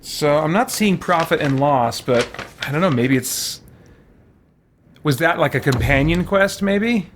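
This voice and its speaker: A man's voice: on the high side, lively intonation, unhurried, slight background noise. A man hosting a video game playthrough, musing and thinking aloud in casual commentary as if talking to himself. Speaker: video game let's player, host.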